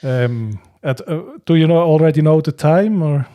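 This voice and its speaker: A man hosting a casual livestream chat, speaking hesitantly and haltingly with frequent chuckles. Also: a little high, dull audio. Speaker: livestream host.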